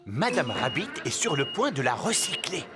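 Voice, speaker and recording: A man's voice: medium pitch, big pitch swings, moderate pace, natural voice. A man narrating a children's cartoon in simple scripted storytelling style. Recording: cartoon music behind the voice.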